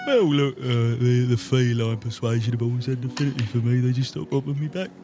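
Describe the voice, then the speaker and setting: A man playing a gentle, modest sidekick to a crook, mild and literal, in an improvised tabletop game. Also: medium pitch, steady tone, a little fast, noisy background, lightly acted.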